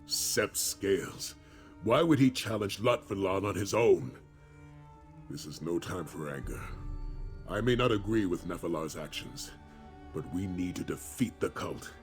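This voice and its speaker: A stern, composed warrior captain from a fantasy video game. His voice is very deep, with a solemn, commanding delivery. Light music runs behind the words. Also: lively intonation, unhurried. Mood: stern, urgent. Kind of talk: scripted video game character dialogue.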